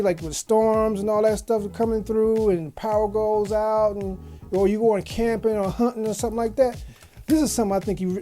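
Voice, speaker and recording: A woman's voice: mid-range voice, mellow. A woman giving a casual product review as a video host, in a folksy, slangy way with repeated phrases. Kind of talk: casual unboxing review chat. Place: small home office studio.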